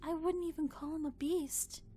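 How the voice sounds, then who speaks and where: A woman voicing a gentle, shy, earnest young pony in an animated fan drama, soft-spoken and hesitant. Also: sits high, moderate pace, soft background music, lightly acted.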